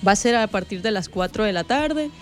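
Woman, Salvadoran accent, mid pitch, casual interview guest, chatty and giggly, faint music behind. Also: expressive, brisk. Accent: Salvadoran Spanish.

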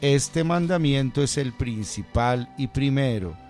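A man, a priest, gently interviewing a guest about her faith and reading the day's Gospel solemnly. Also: medium pitch, expressive, takes it slowly, faint music behind. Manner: gentle, affirming, reads scripture solemnly. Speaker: priest hosting.

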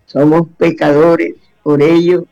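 Gravelly fervent radio host man. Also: a little high, flat delivery, takes it slowly, warm tone, muffled recording, soft background music. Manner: fervent, repeats blessings and phrases. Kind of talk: devotional radio talk and prayer.

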